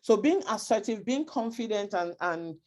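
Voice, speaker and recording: A woman's voice: mid-range voice, animated, normal speed, darkish tone. A woman with a Nigerian accent giving earnest, emphatic advice to parents and repeating her key points. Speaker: speaker advising parents. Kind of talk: advice talk to immigrant parents.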